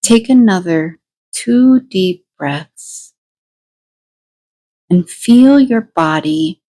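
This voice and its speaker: Slow, lower-pitched woman guiding meditation. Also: warm tone, rough recording. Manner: slow, deliberate instruction in short phrases with pauses. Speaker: meditation guide. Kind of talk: guided meditation.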